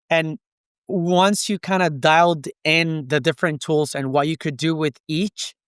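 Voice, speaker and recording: Male podcast guest, Puerto Rican accent, native Spanish speaker, smooth clear tone on the higher side, explaining conversationally. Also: fairly bright tone, no room sound, studio quality. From Puerto Rico. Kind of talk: conversational interview, explanatory advice.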